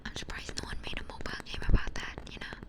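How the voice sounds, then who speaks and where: Whispering woman, casual ASMR streamer. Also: very fast. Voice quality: whispery, breathy. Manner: playful, rambling, repeats phrases. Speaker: ASMR livestreamer chatting with viewers. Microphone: close binaural ASMR microphone.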